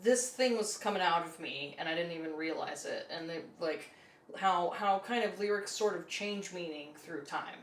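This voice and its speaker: A woman's voice: fairly low pitch, moderate pace. A woman hosting a music podcast, chatting casually and affirming her guest often. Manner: affirming, frequent "mm-hmm" and "yeah". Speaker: podcast host. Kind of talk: casual interview chat. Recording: echoey room, faint noise.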